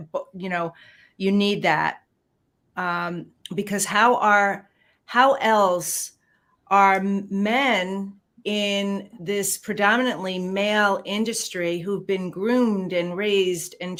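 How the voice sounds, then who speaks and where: A woman hosting an interview, agreeing and adding her own views. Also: mid-range voice. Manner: affirming, many short agreements. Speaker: host. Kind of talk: interview conversation.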